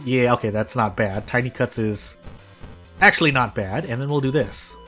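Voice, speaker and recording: A man's voice: mid-range voice, normal speed, bright, forceful tone. An American man giving casual live commentary as a game streamer, rambling and often correcting himself as he goes. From United States. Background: music.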